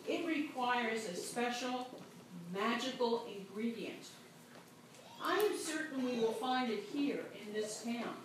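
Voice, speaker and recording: Brief: cheerful clever travelers, as a woman storyteller puts them on, chanting sing-song, unhurried, distant echoey room with background noise. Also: a little high. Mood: coaxing.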